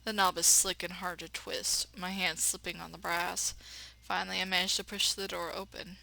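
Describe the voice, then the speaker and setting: A woman's voice: on the low side, moderate pace, gravelly, low-quality recording. A woman reading a visual novel aloud, expressively, and breaking in with blunt, sassy commentary. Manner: expressive narration broken by blunt sassy asides. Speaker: let's player.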